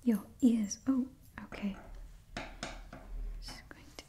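A woman's voice: a little high, extremely slow. A woman in her twenties performing a whispered ASMR roleplay up close to the microphone, repeating short soothing phrases. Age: 20s.

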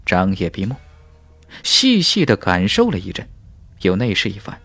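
A male narrator reads fantasy fiction with dramatic emphasis and measured phrasing. His pitch swings in a lively way, and the sound is dry with soft music behind it. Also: no echo. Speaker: audiobook narrator. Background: faint music.

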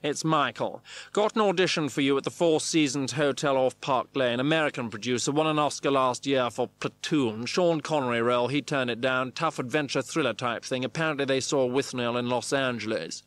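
A man voicing an upbeat male talent agent in a memoir reading, speaking in brisk, clipped phrases. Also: mid-range voice, fairly bright tone, dry recording, natural voice. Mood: businesslike, keen.

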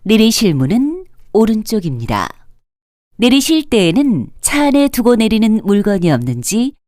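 A woman announcer with a fairly high, lively-pitched voice delivers formal transit announcements. She enunciates politely and carefully, in a very dry recording. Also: normal speed, no echo. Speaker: subway train announcer. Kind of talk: scripted automated transit announcement.

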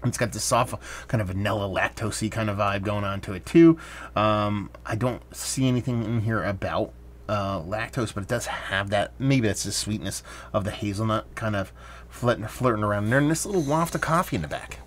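A man talks through a beer review in a loose, rambling way, often pausing on fillers. His voice sits a little low, and a faint hum runs behind him. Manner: relaxed, rambling, with many fillers. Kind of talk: casual beer review vlog.

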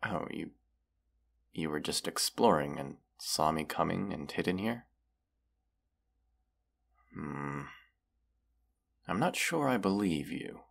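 A man's voice: extremely low pitch, expressive, vocal fry, full character voice. A man playing a proud, menacing, weary dragon who distrusts humans, talking haughtily in a fantasy audio roleplay. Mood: doubtful. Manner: slow, deliberate, haughty, with long pauses. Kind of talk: scripted audio roleplay monologue.

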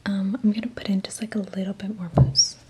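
A woman's voice: medium pitch, level tone, brisk, warm tone, a little hiss or hum. A young woman whispering close to the microphone in a casual, reassuring ASMR roleplay as a hairdresser. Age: young adult. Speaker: ASMR creator playing a hairdresser. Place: small room indoors at home. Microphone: close desktop condenser microphone.

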